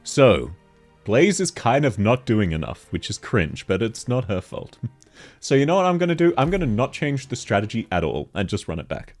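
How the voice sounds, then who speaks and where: A young man with a deep voice streaming a game, chatting casually with viewers, reading their messages aloud and laughing often, a little stuffy from hay fever. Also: very animated, normal speed, faint music behind. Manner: giggly, reads chat aloud and answers it, frequent laughs. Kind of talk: casual game livestream chat.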